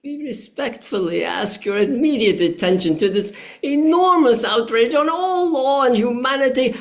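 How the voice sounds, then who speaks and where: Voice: mid-range voice, lightly acted. A performer playing an indignant, loyal Union merchant in a historical play reading, speaking in a formal, declamatory way. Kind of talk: play table reading, period speech.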